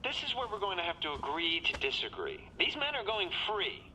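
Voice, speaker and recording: An actor playing a calculating, smug corporate executive in a video game, glib and sardonic with a polished delivery. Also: male voice, high-pitched, huge pitch swings, brisk, bright, forceful tone, slight background noise, heavily acted, low-quality recording. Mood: smugly coercive. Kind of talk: video game cutscene acting.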